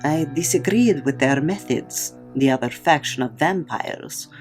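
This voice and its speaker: Woman acting a low, measured, commanding vampire kommissar. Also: expressive, a little mellow, soft background music. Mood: grave, explaining. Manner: measured, authoritative. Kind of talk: audio drama acting.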